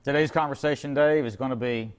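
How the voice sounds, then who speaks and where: A middle-aged man with a medium-pitched voice talks as a guest expert on a local show. He explains practical things informally, in a folksy, conversational manner. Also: a little fast. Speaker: car repair shop expert guest.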